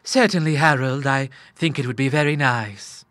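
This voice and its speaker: A man voicing a gentle, loving older mother who speaks softly and tenderly. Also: medium pitch, moderate pace, dry recording, clean studio sound, lightly acted. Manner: soft, tender.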